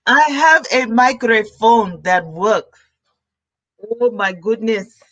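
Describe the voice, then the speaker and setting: A woman YouTuber, chatting excitedly to her viewers from home while she sets up a new microphone. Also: a little high, slow, highs cut off. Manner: chatty, excitable, talks to chat. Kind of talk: casual vlog chat to viewers. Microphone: camera mic, then a new plug-in USB mic.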